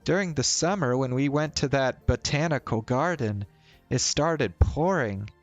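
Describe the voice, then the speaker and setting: A man's voice: medium pitch, expressive, measured pace, soft background music, pitched above the performer's own voice, lightly acted. A man voicing a friendly, teasing young woman in a visual novel read-aloud, with a playful lilt.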